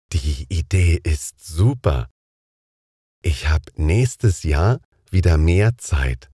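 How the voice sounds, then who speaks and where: A man with a strikingly low, heavy voice reads a scripted everyday conversation for learners. Each word comes out slowly and with careful enunciation. The sound is close and completely dry, with no room around it. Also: darkish tone, no room sound. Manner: slow, carefully enunciated, deliberate. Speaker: dialogue speaker in a language lesson.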